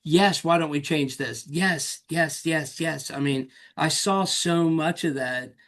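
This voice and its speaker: A man co-hosting a casual podcast chat, animated and self-mocking, full of quick asides. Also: on the high side. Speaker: podcast co-host.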